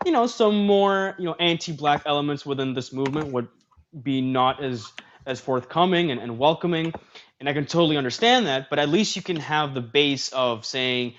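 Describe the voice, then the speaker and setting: A man's voice: fairly high pitch, animated, moderate pace, a bit bright, muffled recording, slight background noise. A man hosting a livestream in a casual, talkative way, reading social media posts aloud and commenting on them. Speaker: streamer host.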